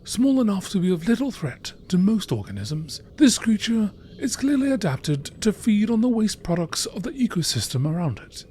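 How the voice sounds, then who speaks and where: A man reading scripted narration in a nature documentary style, in a measured, informative manner. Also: sits high, very animated, mellow, a little hiss or hum.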